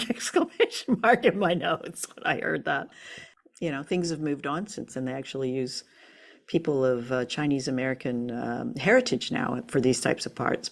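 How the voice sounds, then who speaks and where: A woman's voice: low voice, vocal fry. A woman co-hosting a casual review chat, talking in a thoughtful, lightly teasing way. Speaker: co-host of a radio drama review show.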